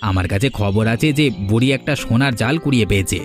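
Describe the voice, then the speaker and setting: A man's voice: fairly low pitch, fast talker, music in the background, close to an everyday voice. A man voicing an eager, goading henchman of a village boss in a cartoon story, urging insistently. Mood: eager reporting. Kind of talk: Bengali cartoon story voice acting.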